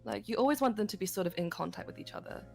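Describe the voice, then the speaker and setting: A woman's voice: medium pitch, rapid-fire, vocal fry, darkish tone, soft background music. A woman explaining vocal technique on a livestream lesson. She talks casually, with many "like" fillers and vivid images. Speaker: singing instructor.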